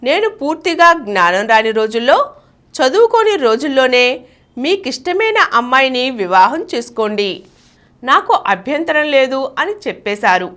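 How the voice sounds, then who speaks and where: A woman's voice: sits high, sing-song, moderate pace, a bit bright. A woman reading a scripted narration in a storytelling style.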